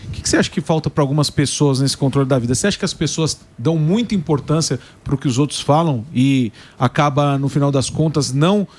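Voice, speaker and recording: Man, co-host, fast pace, slightly high voice, probing questions. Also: darkish tone. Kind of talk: talk show interviewing.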